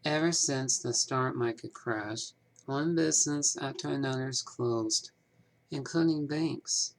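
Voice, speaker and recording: Slow-talking, formal small-town banker played by a man. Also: medium pitch, low-quality recording. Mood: concerned. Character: male 1930s small-town banker, polite, businesslike, wistful. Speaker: amateur voice actor. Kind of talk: scripted game character dialogue.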